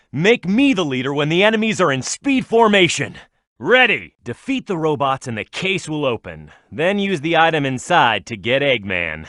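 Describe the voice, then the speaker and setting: Brash, animated cartoon hero, higher-pitched. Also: male voice, no room sound, studio quality. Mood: urgent, instructive. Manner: brash, cocky, emphatic delivery. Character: tough, hot-headed young male cartoon echidna hero. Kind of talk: video game voice lines, tutorial hints and battle shouts.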